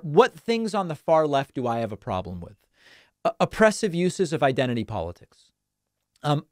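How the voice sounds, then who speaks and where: Guy in his 30s hosting a call-in show, kinda high voice, dry close studio mic, lays out points one by one. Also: dry recording. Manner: measured, explanatory, lists points methodically. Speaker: host. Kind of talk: call-in political talk show. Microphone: studio broadcast mic.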